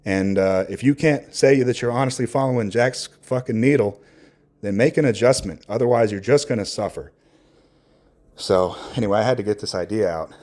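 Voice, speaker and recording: A man talking candidly and off the cuff in a reflective, earnest monologue, halting as he searches for words and swearing casually. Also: on the low side, darkish tone. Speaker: podcast host.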